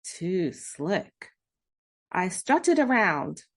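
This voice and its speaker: A woman narrating a children's storybook, reading expressively with drawn-out emphasis and dramatic pauses. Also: lowish voice, very animated. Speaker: read-aloud host narrating children's books. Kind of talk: scripted children's storybook narration in first person.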